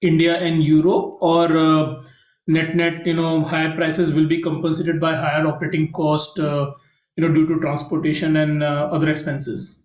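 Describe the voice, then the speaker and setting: An echoey call recording of a man with a fairly high, even-toned voice. He is an analyst posing questions to company management on an earnings call. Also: normal speed. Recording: echoey, roomy conference call line.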